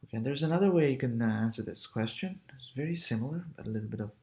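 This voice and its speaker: A young man in his twenties teaching a casual language lesson, repeating phrases slowly with relaxed, chatty asides. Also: medium pitch. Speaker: language tutor.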